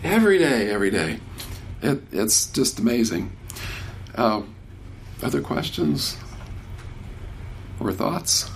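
A man's voice: lowish voice, very animated, slow pace, creaky, pauses often, a little hiss or hum. A man in his sixties speaking casually as a workshop speaker, answering audience questions with frequent restarts. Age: 60s. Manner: warm, conversational, many restarts.

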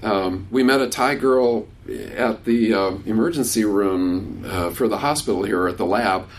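A man's voice: lowish voice, a little slow, a little hiss or hum. A man in his sixties speaking casually as a workshop speaker, answering audience questions with frequent restarts. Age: 60s. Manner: warm, conversational, many restarts.

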